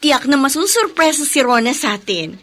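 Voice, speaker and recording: A woman's voice: high-pitched, sing-song, normal speed, a bit bright. An actress playing a bubbly, upbeat girlfriend in a Filipino radio drama, with a chirpy manner. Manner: chirpy, perky. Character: bubbly, upbeat pageant-world girlfriend of the heroine.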